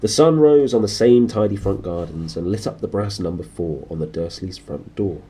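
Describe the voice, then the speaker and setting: A man narrating a storybook in a lively way, with informal, playful asides to his listeners. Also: deep voice, moderate pace, breathy edge. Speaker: reader, host of a read-aloud series.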